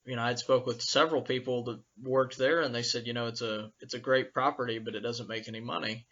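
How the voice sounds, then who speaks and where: A man's voice: medium pitch, fairly even intonation, fairly quick, sharp tone. Man hosting a podcast, dull muffled audio. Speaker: podcast host and interviewer. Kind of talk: casual podcast intro and interview talk.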